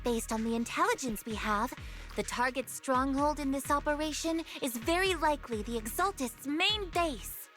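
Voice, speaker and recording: A woman's voice: high voice, moderate pace, a bit bright. A woman playing a dutiful squad member in a video game, explaining things matter-of-factly. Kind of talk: scripted video game voice acting. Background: game music.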